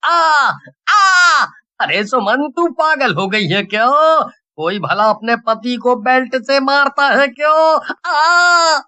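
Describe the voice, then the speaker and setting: A man voicing an indignant, pleading village husband with a dramatic, exasperated manner in an animated story. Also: extremely high pitch, slow pace, heavily acted. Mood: alarmed, indignant. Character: exasperated village husband beaten by his wife. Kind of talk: Hindi animated story dialogue.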